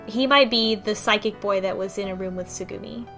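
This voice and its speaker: A woman's voice: medium pitch, fairly quick, vocal fry, fairly bright tone. Woman casually recapping a story over music. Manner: conversational, thinking aloud in loose retelling. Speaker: book club podcast host.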